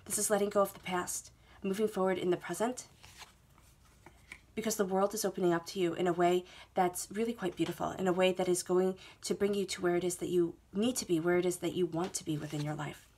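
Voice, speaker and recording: Woman w/ a head cold doing a tarot reading, lowish voice, stuffy, keeps saying okay. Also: fairly even intonation, fairly quick, darkish tone, slight background noise. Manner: soothing, repetitive reassurance with frequent "okay?". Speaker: tarot reader. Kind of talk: monthly tarot reading to camera. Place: indoors at a table, reading laid-out cards.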